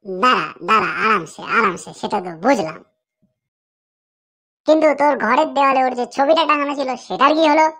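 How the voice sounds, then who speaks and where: A woman performs a high-voiced cartoon pal, excitable and teasing. The delivery is exclamatory and comic, with words doubled and repeated for effect. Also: fairly quick. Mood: calming, wary.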